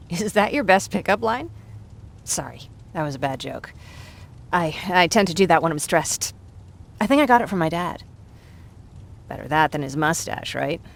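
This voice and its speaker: An actress playing a warm, joking young survivor in a video game, chatty and quick to apologise for herself. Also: female voice, fairly low pitch, expressive, creaky. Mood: joking, nervous. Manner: chatty, self-deprecating, apologetic. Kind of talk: video game dialogue acting.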